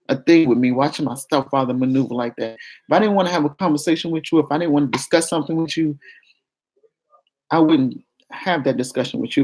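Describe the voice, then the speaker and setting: A woman with a fairly deep voice that carries well talks to her viewers. She is frank and confessional in a loose, casual vlog style, and she calls her audience "y'all". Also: darkish tone, husky, rough recording. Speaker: vlogger. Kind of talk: candid casual talk to viewers.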